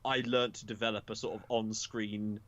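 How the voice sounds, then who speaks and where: A man's voice: on the low side, level tone, moderate pace, a bit bright, highs cut off. A young man chatting frankly as a podcast guest, self-deprecating and swearing casually. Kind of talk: casual chat.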